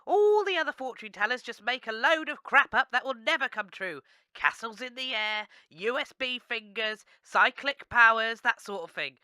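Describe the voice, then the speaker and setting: Casting: brazen British female clairvoyant acted by a woman for radio comedy, piercing and unapologetic, high register. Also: animated, moderate pace, sharp tone, dry recording. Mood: blunt, unapologetic. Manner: matter-of-fact, unapologetic. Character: brazen, blunt post-apocalyptic female fortune teller. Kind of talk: comic radio acting.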